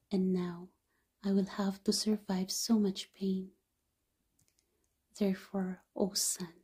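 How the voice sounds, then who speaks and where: A woman's voice: mid-range voice, slightly rough. A woman reading as a grieving mother, half-whispered. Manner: soft half-whispered lament. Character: grieving mother mourning her dead son.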